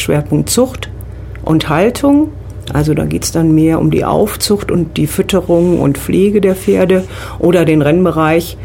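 A woman's voice: sits low, dark tone, a little hiss or hum. A middle-aged woman from the Rhineland with a Rhineland German accent, a riding instructor answering questions as a studio guest on a radio show. She explains things step by step and uses fillers.

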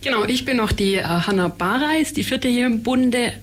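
A woman's voice: on the high side, expressive, normal speed, slight background noise. Woman, youth migration counselor, radio guest, fluent explaining, filler words, studio mic.

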